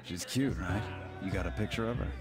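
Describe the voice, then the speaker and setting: A man's voice: deep voice, a little fast, music in the background, lightly acted. An actor with an American accent playing a cynical, carefree young womanizer, blunt and mocking, in a game cutscene. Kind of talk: video game cutscene acting.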